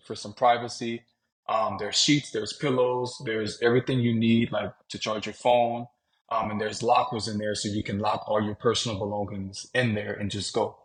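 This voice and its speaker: A man from Louisiana, a podcast guest, talking about travel in a relaxed, conversational way, often saying "man" and "you know". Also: lowish voice, moderate pace, poor audio. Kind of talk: casual interview talk.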